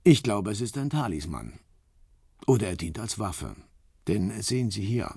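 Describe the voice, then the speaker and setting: Gravelly, courteous Russian operative. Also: male voice, on the low side, big pitch swings, darkish tone, no room sound, clean studio sound, exaggerated voice. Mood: cautioning, courteous. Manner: formal, measured, briefing like an operative. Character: businesslike Russian man in Moscow, courteous and shrewd. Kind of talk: radio crime drama acting.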